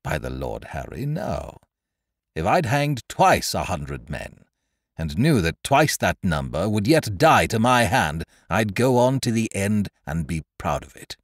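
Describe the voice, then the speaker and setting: A man's voice: low-pitched, big pitch swings, unhurried, no echo, clean studio sound, exaggerated voice. Man playing a cold, defiant pirate captain. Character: hard proud male pirate captain.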